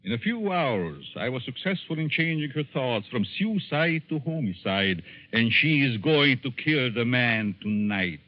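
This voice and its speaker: An actor playing a sinister, gloating professor and murderous schemer in 1940s radio suspense style, theatrical, taunting and given to chuckling. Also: male voice, medium pitch, big pitch swings, unhurried, few pauses. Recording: dull lo-fi 1940s radio broadcast.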